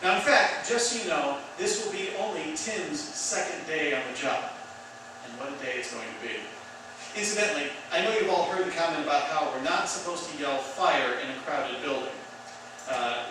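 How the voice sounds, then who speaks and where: A man's voice: a little high, expressive, moderate pace. A man presenting a radio theatre show with a chatty scripted introduction. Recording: very echoey, distant mic, clear background noise.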